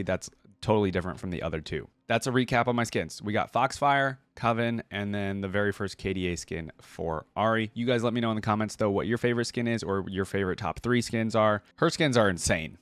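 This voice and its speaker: A man's voice: on the low side, fairly bright tone, no room sound. A man hosting a reaction video, casually commenting and thinking aloud as he talks to his viewers. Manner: informal, thinks aloud, addresses viewers as guys.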